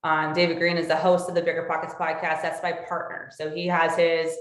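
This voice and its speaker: A woman's voice: deep voice, monotone, fairly quick. A woman introducing herself casually as an online panel guest, explaining things informally as she goes. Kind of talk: casual, unscripted self-introduction. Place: room with echo, online video call. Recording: echoey room on video call.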